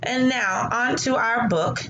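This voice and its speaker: Woman teacher over clear background noise. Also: on the high side, takes it slowly, muffled recording. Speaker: teacher reading aloud. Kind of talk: read-aloud lesson for children.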